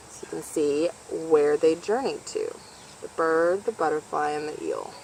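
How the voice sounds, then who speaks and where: A woman's voice: low voice, measured pace, creaky, a little mellow, a little hiss or hum, low-quality recording. A woman reading a children's picture book aloud, explaining things directly to her listeners as she goes. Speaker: storybook reader for a nature organization.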